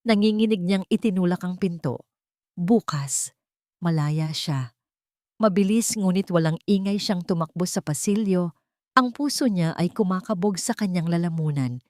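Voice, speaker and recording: A woman reads a dramatic audio story with emotive, heightened delivery. Her voice is somewhat low, and the recording is dry and close. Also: moderate pace, a little mellow, dry recording.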